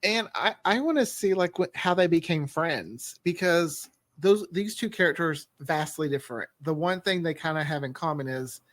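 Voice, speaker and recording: A man's voice: on the high side, moderate pace, few pauses, a bit bright, no room sound. A man who writes for an audio drama, chatting casually in a rambling, talkative way in a group discussion. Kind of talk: casual behind-the-scenes group chat.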